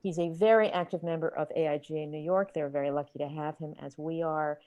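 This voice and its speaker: Woman, lowish mellow voice, dry sound, introducing the guest host of a webinar. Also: steady tone, brisk, no echo.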